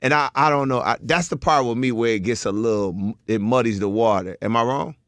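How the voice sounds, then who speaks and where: A man's voice: mid-range voice, fairly quick, fairly bright tone, no echo. A man co-hosting a casual podcast, reading out news items and hedging his takes. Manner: reads headlines, then hedges his opinions. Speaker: podcast co-host. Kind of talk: casual group chat.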